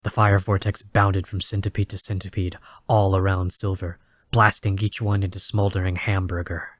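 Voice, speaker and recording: A man reads dramatic fiction as its narrator. His voice is low and stays even, with measured literary phrasing, in a close, dry recording. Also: no room sound. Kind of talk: scripted dramatic story narration.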